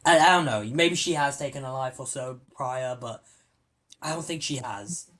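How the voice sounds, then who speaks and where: A man hosting a film podcast, talking casually in a rambling, halting way with many restarts. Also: mid-range voice, fairly bright tone. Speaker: podcast host. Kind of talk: casual film review chat.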